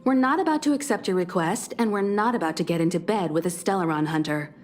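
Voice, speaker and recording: An actress playing a poised, firm and sardonic crew leader in a video game, speaking formally and dryly. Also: female voice, fairly low pitch, slight background noise, close to an everyday voice. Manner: formal, measured, dryly stern. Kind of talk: English video game voice acting. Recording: background music under the voice.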